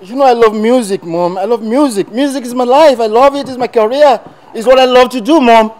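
Actor playing a pleading young son. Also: male voice, a little high, big pitch swings, brisk, warm tone. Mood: passionate. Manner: pleading, repetitive, code-switching. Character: frustrated young adult son who loves music.

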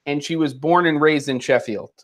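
A man's voice: on the high side, normal speed. Chatty male host on a video call. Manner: relaxed, chatty and joking. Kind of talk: casual chat on a video call. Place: home room with curtains and lamp.

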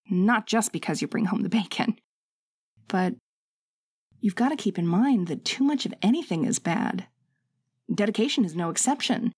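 A woman acts out a sassy, loving wife in an intimate audio roleplay. Her voice sits at a medium pitch, recorded very dry, as she nags and coaxes like someone who cares. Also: a little mellow, slightly rough, dry recording. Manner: scolding, teasing, coaxing, conversational. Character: bossy, sassy but loving worried young wife.

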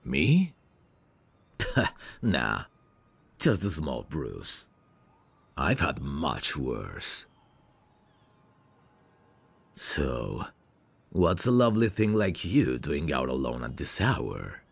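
A man playing a protective, self-assured, flirtatious mafia heir in an ASMR roleplay, commanding and smooth-talking. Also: a bass voice, huge pitch swings, extremely slow, long pauses, no echo, full character voice. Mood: tender, flirtatious.

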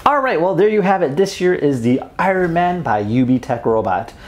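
A man's voice: on the high side, very animated, moderate pace. A young man giving casual, slangy and enthusiastic gameplay commentary as a toy reviewer. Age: young adult. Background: game music.

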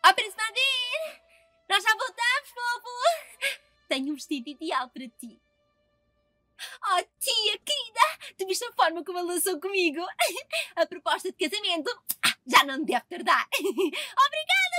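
A woman's voice: extremely high pitch, big pitch swings, a little slow, pushed and bright, dry recording, faint music behind, exaggerated voice. A woman voicing a spoiled, bubbly young Southern belle heiress in a cartoon fan dub, gushing and giggly. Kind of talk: fan dub of animated film.

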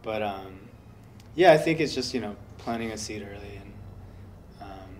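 Soft-spoken man with a lowish voice. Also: lively intonation, moderate pace. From United States. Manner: soft-spoken, rambling with many fillers. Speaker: interviewee, guitarist. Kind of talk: relaxed interview. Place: indoor workshop studio.

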